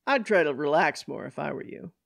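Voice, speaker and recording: Audiobook role: older paternal cop, read by the narrator, folksy and mildly admonishing, with a creaky edge. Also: mid-range voice, expressive, a little fast, fairly bright tone, no echo, studio quality. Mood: resigned.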